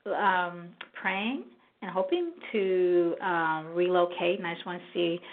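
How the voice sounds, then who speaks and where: A woman's voice: on the low side, measured pace. Hesitant woman caller on a phone line. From northeastern United States. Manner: hesitant, many fillers.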